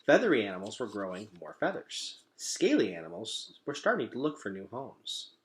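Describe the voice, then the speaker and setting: A man reading a children's storybook aloud to pupils in an expressive, deliberate storytelling manner. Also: lowish voice.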